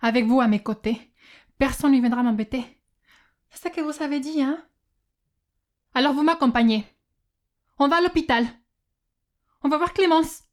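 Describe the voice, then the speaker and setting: A woman's voice: high voice, moderate pace, long pauses, close to an everyday voice. A woman playing a desperate, resolute accountant turned kidnapper in an audio drama, speaking with determination. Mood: angry, commanding. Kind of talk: French audio fiction acting.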